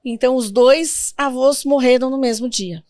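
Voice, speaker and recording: A Brazilian woman in her fifties, a guest in an interview, telling family stories in a reflective, gentle way. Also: sits high, moderate pace, dry recording, studio quality. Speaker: guest, sworn translator. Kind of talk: interview conversation.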